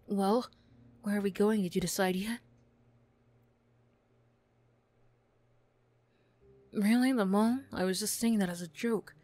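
A woman's voice: medium pitch, fairly even intonation, moderate pace, dark tone, dry recording, lightly acted. A woman acting a flustered, defensive young former bully in an ASMR roleplay, stammering, bashful and snappy. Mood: shy, surprised.

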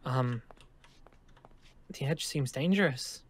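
A man's voice: mid-range voice, slow. A man playing a nervous, awkward but polite survivor in a Minecraft roleplay, hesitant and often stammering over repeated words. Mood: uneasy.

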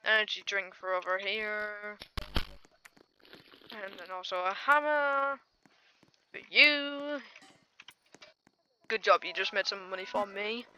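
A woman's voice: mid-range voice, takes it slowly, pauses often, bright, forceful tone, highs cut off, slight background noise. A young woman giving casual, rambling gameplay commentary with dry, playful asides. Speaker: gaming video host.

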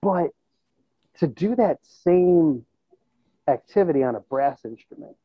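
Husky man on a tinny call. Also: a little high, animated, measured pace, no room sound. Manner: conversational, thinking aloud, checks in with "you know" and "right?". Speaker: musician and school band teacher being interviewed. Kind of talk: casual reflective interview talk. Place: indoors in a plain room on a video call. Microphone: earbud headset. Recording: tinny, band-limited video call audio.

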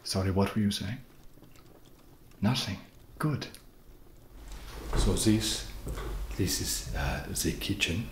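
A very low, slow-talking vampire acted by a man with a mock foreign vampire accent. He is soft-spoken, halting and awkwardly friendly, desperate to seem trendy in an ASMR comedy. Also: animated, reverberant, slight background noise. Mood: awkwardly welcoming. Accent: put-on foreign vampire accent.

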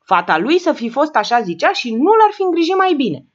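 A woman's voice: sits high, very animated, dull audio, voice pushed up. A woman reading aloud and voicing a frail, plaintive old woman who rambles on.

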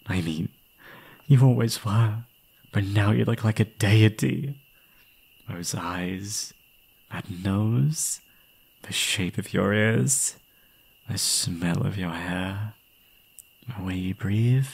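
A young man playing an obsessive, gleeful yandere kidnapper in an intimate ASMR roleplay, doting on the listener in a breathy voice. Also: fairly low pitch, takes it slowly, long pauses, a little mellow, exaggerated voice. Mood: infatuated. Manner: doting pet names, unhinged chuckling, swinging into whispers.

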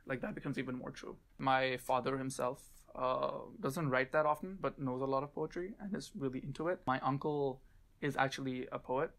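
Young Pakistani-accented man, student, relaxed chatty delivery full of fillers, seated on camera in a small dark studio. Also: medium pitch. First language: Urdu. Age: young adult. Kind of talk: casual personal interview.

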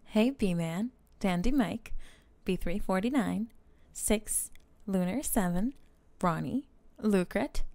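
A woman's voice: medium pitch, animated, slow pace, gravelly, warm tone, no room sound. A woman speaking as an ASMR channel host, giving a scripted outro to viewers. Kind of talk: scripted channel outro and supporter shout-outs.

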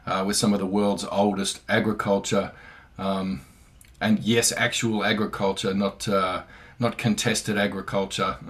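A man's voice: fairly low pitch, a bit bright. A man from far north Queensland with an Australian accent, hosting a casual video call from his home in a playful, joking manner. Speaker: host. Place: home room.

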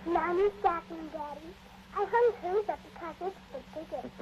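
A woman's voice: very high-pitched, normal speed, darkish tone. A woman playing a trusting little girl with a small, childlike voice in a 1940s radio drama. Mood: sweet, sleepy. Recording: muffled, noisy 1940s radio recording.

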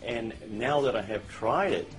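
A man's voice: mid-range voice, animated, measured pace, rough recording. An older man giving a plain-spoken testimonial, stumbling over repeated words. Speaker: customer testimonial. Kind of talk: testimonial to camera. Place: indoors, high-rise room with window view. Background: soft music.

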